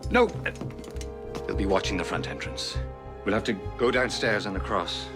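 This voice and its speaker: A man's voice: on the low side, moderate pace, music in the background, natural voice. An actor playing an urgent, anxious captive craftsman pleading in a video game cutscene. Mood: urgent, fearful.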